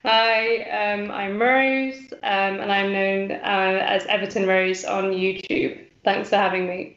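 A woman's voice: medium pitch, takes it slowly. Woman on an echoey video call. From English Midlands. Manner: relaxed, many ums. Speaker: guest, fan channel creator. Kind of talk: casual video-call interview. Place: small room at home. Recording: echoey room, dull lo-fi call audio.

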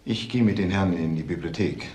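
An actor playing a guarded, evasive male ex-convict in a crime drama, with a husky voice and hesitant, curt answers. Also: low voice, fairly quick, darkish tone, slight background noise, lightly acted.

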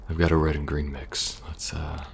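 A man playing a weary, protective young survivor agent in an ASMR audio roleplay, speaking softly and reassuringly, a little hesitant. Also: extremely low pitch, little pitch movement, normal speed, creaky. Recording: faint background noise and ambience.

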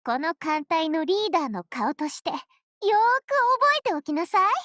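A woman's voice: very high voice, animated, a little slow, pushed and bright, no echo, studio quality, full character voice. A woman voicing a haughty, girlish young fleet leader in an anime game, pompous and commanding but easily flustered. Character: haughty young warship girl, self-styled charismatic fleet leader. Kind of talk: Japanese anime game character voice lines.

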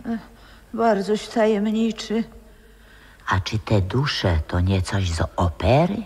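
Husky, theatrical old opera diva. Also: female voice, deep voice, wildly swooping pitch, slow, a little mellow, exaggerated voice. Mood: wary. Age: older. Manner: dramatic, lyrical, swinging into whispers. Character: wistful old former opera singer lost in memories. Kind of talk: theatrical 1960s radio drama acting. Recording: reverberant 1960s radio play recording.

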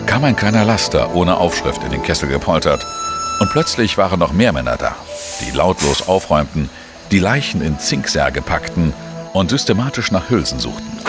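An actor playing a world-weary investigative journalist in a crime radio drama, speaking in a measured, sombre, terse way. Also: male voice, low voice, sing-song. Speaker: narrator and lead actor. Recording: music behind the voice.

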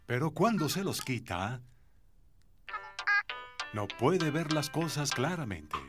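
A man's voice: fairly high pitch, sing-song, slow pace, over music. A man reading scripted narration for a children's cartoon.

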